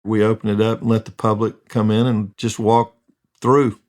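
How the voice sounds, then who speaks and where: A man's voice: on the low side, little pitch movement, moderate pace, darkish tone. A man speaking as a construction project manager, telling earnest, reflective stories in an interview.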